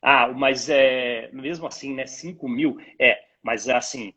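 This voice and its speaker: A man with a Brazilian accent and a husky voice, explaining things conversationally as an expert guest, in a didactic way with rhetorical questions, on dull lo-fi call audio. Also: medium pitch, slow pace, sharp tone. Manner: didactic, illustrates points with imagined dialogues and rhetorical questions. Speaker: guest retail pricing expert. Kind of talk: conversational explanation to a live stream host.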